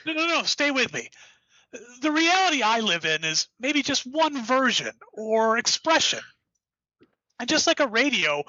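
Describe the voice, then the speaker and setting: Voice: mid-range voice, pushed and bright, no room sound, muffled recording, heavily acted. An actor playing an enthusiastic, rambling writer who created the hero, in an audio drama. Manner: enthusiastic, rambling, self-interrupting.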